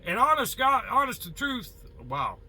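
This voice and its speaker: A man's voice: very high voice, sing-song, moderate pace, sharp tone, slightly rough. An American man, a truck driver, talking casually and bluntly with a folksy, opinionated manner, tossing in rhetorical questions and mild swearing. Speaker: truck driver giving advice. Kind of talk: casual opinionated monologue to viewers.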